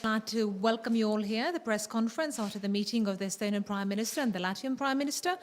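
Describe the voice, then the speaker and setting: A woman moderating and interpreting at a press conference, rendering statements smoothly into English. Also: medium pitch, fast, a little hiss or hum. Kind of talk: consecutive interpreting.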